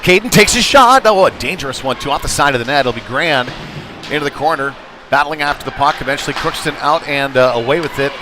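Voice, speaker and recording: A man's voice: a little high, fast talker, background noise. A man doing casual, conversational live play-by-play commentary of a youth hockey game from a rink, on a headset. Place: chilly ice rink.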